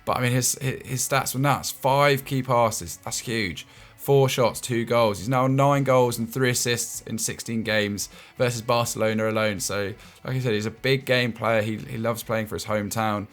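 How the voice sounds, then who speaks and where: A man's voice: medium pitch, fairly even intonation, moderate pace, soft background music. A man hosting a casual football analysis chat, often restarting his words and chuckling between points. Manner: stammering restarts and chuckling asides. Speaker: football show host.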